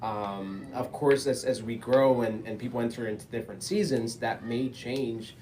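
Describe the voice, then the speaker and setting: A man in his twenties speaking slowly and thoughtfully as a guest on a relationship panel. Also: mid-range voice, fairly even intonation, a little mellow, a little hiss or hum. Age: 20s. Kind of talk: casual panel talk. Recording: crowd murmur behind.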